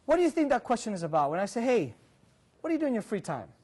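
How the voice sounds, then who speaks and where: Male seminar speaker in his 40s with a fairly high voice, lively and audience-quizzing, recorded from across a conference room. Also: very fast. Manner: animated, walks about and gestures, quizzes the audience. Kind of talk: interactive career seminar talk. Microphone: far camera, room mic.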